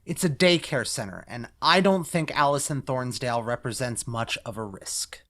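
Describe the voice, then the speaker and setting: Casting: male actor as principled banker, earnest and quietly firm, for musical table-read dialogue. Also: medium pitch, normal speed, close to an everyday voice.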